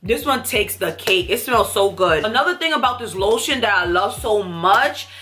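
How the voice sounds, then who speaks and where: A woman's voice: mid-range voice, expressive, moderate pace, sharp tone, faint music behind. A young woman with a stuffy, congested nose, chatting casually and emphatically to camera about products she bought, filmed in a small room at home. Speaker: beauty vlogger. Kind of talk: casual haul chat to camera.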